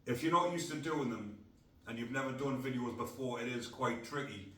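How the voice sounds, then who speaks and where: A man's voice: mid-range voice, normal speed, bright, forceful tone. A middle-aged man from North East England, hosting a casual podcast in a blunt, rambling way. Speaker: podcast host. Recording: echoey room, distant mic.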